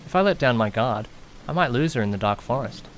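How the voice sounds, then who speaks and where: A man's voice: mid-range voice, lively intonation, fairly quick, slight background noise, close to an everyday voice. A man playing an anxious, easily panicked young man who narrates his own misadventure in an audio drama, dramatic and questioning himself. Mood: tense narration. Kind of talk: first-person audio drama narration with acted dialogue.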